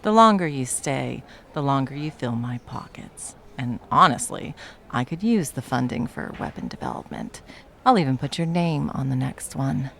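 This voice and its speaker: Actress as nonchalant villain moonlighting as cafe worker, comedy ASMR; gravelly fry, animated pitch, deadpan teasing. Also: female voice, moderate pace, a little hiss or hum, exaggerated voice. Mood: smug, teasing. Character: smug, deadpan young villain working as barista. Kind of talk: comedic ASMR roleplay acting.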